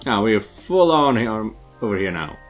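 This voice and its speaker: A man's voice: fairly low pitch, big pitch swings, moderate pace. A man doing a casual let's play, reading game text aloud and muttering hesitant asides. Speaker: game streamer dubbing a character. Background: background music.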